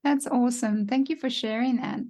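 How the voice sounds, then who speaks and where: A woman hosts an interview with an upbeat, encouraging manner. Her voice sits a little higher than most. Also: steady tone, a little fast, mellow. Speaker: podcast host. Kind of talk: friendly interview hosting.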